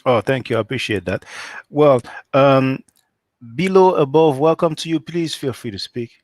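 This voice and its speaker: A man's voice: medium pitch, moderate pace, no echo. A man from France hosting a live chat, polite and welcoming to callers. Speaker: host.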